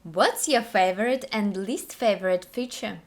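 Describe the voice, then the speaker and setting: A woman's voice: medium pitch, normal speed. A woman with a Russian accent, a native Russian speaker, co-hosting an English lesson in simple, careful question-and-answer conversation with some hesitant phrasing.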